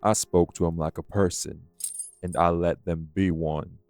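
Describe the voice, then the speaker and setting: A man playing a rough, defiant old vampire, blunt and taunting, in an audio drama. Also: low voice, fairly even intonation, measured pace, darkish tone, no echo, faint music behind. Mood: defiant, scornful. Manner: blunt, drawling, taunting, colloquial. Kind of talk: dramatic audio roleplay acting.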